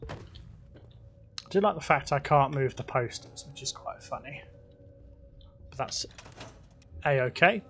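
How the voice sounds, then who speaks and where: A man giving relaxed, casual gameplay commentary, talking to himself as he builds, his voice a little croaky. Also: mid-range voice, takes it slowly, pauses often, a little hiss or hum. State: slightly croaky voice. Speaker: gaming video host.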